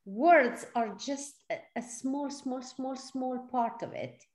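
A woman's voice: sits high, slow, a little mellow. Middle-aged woman, echoey room. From born in Serbia, grew up in Sweden. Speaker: seminar facilitator. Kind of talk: conversational teaching talk for live interpretation. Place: indoor room, video call.

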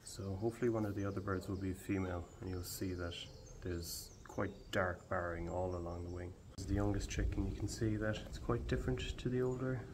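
Low-voiced Irish man explaining owl ringing. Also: a little fast, few pauses, noisy background. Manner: calm, explanatory. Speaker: barn owl ringer. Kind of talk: explaining fieldwork on camera. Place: open farm shed outdoors.

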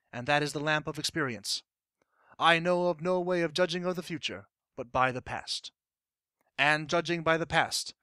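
A man's voice: a little high, bright, forceful tone, no room sound, studio quality. A man playing an impassioned colonial-era statesman, delivering a formal, emphatic dramatic monologue as a speech to an assembly. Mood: earnest, resolute.

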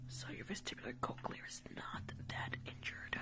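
A young woman whispering breathily in an ASMR medical roleplay, giving soft, repetitive, casual instructions close to the mic. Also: moderate pace, over loud music. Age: young adult. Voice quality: breathy whisper, mostly unvoiced. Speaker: ASMR streamer playing a doctor. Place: small room at home.

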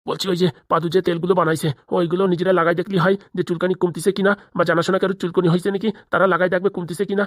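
A woman's voice: fairly low pitch, flat delivery, very fast, hardly any pauses, dry recording, clean studio sound, close to an everyday voice. A woman voicing a timid, anxious cartoon man, a lawyer who pleads and frets in a rural Bengali accent. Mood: persuading. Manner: pleading, fretful, stammering. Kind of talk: comic cartoon dialogue.

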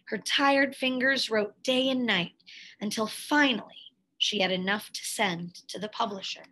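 A woman reading a picture book aloud expressively, in a measured way with dramatic pauses and emphasis. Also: mid-range voice, bright, forceful tone, poor audio. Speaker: read-aloud storyteller, teacher.

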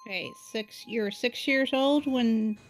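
A woman's voice: on the high side, slow pace. A woman around thirty playing a kart racing game with friends, apologetic and giggly as she learns the controls. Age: 30s. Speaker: co-player. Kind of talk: casual gaming chat. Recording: loud game music behind.

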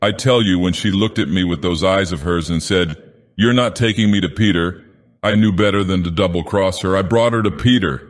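A man voicing a gruff, drunken, superstitious schooner captain in a storybook narration, grumbling and emphatic with fear underneath. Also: deep voice, a little fast, hardly any pauses, long reverb tail, close to an everyday voice. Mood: defensive bluster.

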